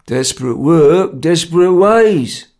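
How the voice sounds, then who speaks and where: A man voicing a grim, domineering Cockney ringleader, stern, laconic and gravelly, in a story reading. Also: mid-range voice, big pitch swings, unhurried, a little mellow, full character voice. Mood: cold menace. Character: grim, domineering male ringleader of bungling conspirators.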